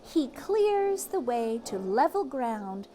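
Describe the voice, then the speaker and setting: A woman reading a children's picture book aloud, expressively, with drawn-out words and hushed asides, speaking directly to young listeners. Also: fairly high pitch, big pitch swings. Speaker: teacher or read-aloud host reading to children.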